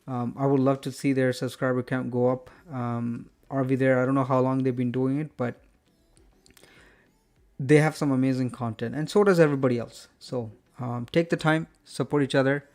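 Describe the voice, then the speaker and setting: A man's voice: mid-range voice, steady tone, normal speed, a little mellow. Rambling man hosting a live stream. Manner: rambling, many fillers and restarts. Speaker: host. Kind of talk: live stream sign-off chat.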